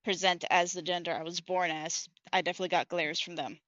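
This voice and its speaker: Hesitant caller on a dull line. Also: male voice, high-pitched, level tone, fast talker, pushed and bright, no echo. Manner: hesitant, searching for words. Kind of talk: casual call-in chat.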